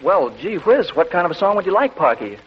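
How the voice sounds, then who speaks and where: High-voiced, genial radio straight man. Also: sing-song, brisk, a little hiss or hum. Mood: exasperated, good-humored. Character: genial, amused young newspaper columnist, straight man. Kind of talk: 1940s radio comedy acting. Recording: tinny, muffled old radio recording.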